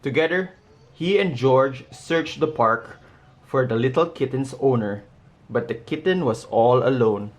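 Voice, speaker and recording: A man reading a children's storybook aloud in a deliberate way, pausing between short, simple phrases. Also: medium pitch, expressive. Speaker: storybook reader. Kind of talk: read-aloud of a children's picture book.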